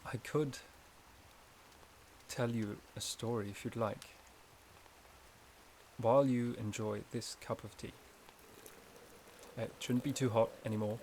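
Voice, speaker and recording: A man playing a gentle, shy, caring forest companion in a soft-spoken ASMR roleplay, with a hesitant, slightly stammering delivery. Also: mid-range voice, fairly even intonation, slow, pauses often, slight background noise. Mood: soothing, cozy.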